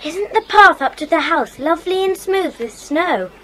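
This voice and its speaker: A woman playing an eager, excitable, chatty schoolchild in an audio drama. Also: very high-pitched, normal speed, a little hiss or hum, heavily acted.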